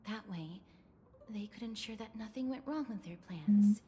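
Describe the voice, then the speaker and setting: A woman voicing a calm, gentle young video game heroine in a soft, measured, reassuring manner. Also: medium pitch, a little hiss or hum, lightly acted. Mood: gentle, grave. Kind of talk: English video game voice acting.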